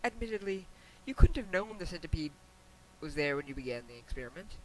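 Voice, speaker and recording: A man voicing a regal, patient pony princess who gently admonishes her student in a formal, wry, measured way, reading a fan fiction letter. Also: fairly high pitch, big pitch swings, poor audio. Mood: diplomatic, gently chiding. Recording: hissy amateur home recording.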